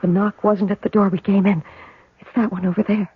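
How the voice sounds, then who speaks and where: A woman's voice: mid-range voice, level tone, a little fast, a little hiss or hum, lightly acted. An actress playing an anxious, shaken younger woman in an old-time radio drama, asking questions in a hesitant, breathless way. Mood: tense. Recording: thin, phone-like old radio sound.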